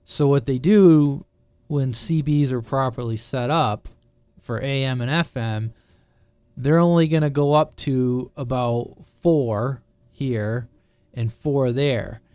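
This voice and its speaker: A man's voice: mid-range voice, takes it slowly, darkish tone, clear, resonant tone, no echo. A man giving a casual, unscripted technical explanation in an informal, conversational way, often checking that listeners follow. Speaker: presenter of a CB radio explainer channel.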